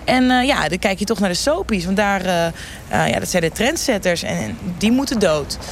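A woman's voice: medium pitch, animated, normal speed, close to an everyday voice. A woman playing a self-absorbed, shallow trendwatcher who chatters in trendy slang and trails off, in a satirical radio street interview. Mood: gushing, defensive. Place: outdoors on a shopping street. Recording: outdoor street noise.

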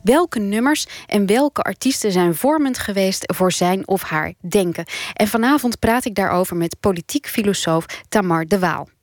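A woman's voice: mid-range voice, animated, moderate pace, no room sound, clean studio sound. A woman with a Netherlands Dutch accent giving a scripted programme introduction as a radio presenter.

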